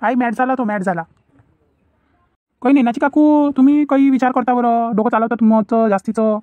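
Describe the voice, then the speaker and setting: A woman voicing an excitable village youth in a cartoon comedy, full of excuses and bluster, with a Varhadi Marathi accent. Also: fairly high pitch, a little fast, no echo, phone-quality sound, natural voice. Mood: excited. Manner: evasive, blustering.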